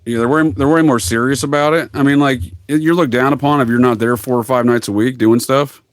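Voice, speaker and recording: A man's voice: mid-range voice, fairly even intonation, fairly quick, no room sound. Wry male podcast guest, dry sound. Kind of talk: casual anecdotal explaining.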